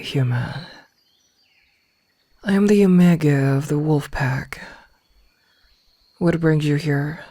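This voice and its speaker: A woman performs a female werewolf omega for an ASMR fantasy roleplay. She speaks at a slow, deliberate pace in formal phrases, commanding and possessive with a cool threat underneath. The sound is dry, with no room around her. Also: sits low, dark tone, no echo. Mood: coolly menacing. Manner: slow, deliberate, formal, with breathy whispered asides.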